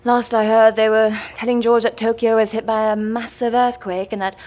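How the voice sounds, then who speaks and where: A woman's voice: on the high side, little pitch movement, normal speed, darkish tone, lightly acted. A woman playing a wry, flirtatious but cautious married woman in an audio drama, speaking intimately.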